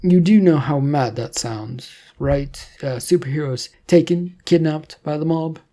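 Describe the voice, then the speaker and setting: Reluctant young male storybook hero, voiced by a narrator: flustered, stumbling over questions, soft dark tone. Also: medium pitch, measured pace, natural voice. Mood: incredulous, hesitant. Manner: hesitant, stammering, questioning.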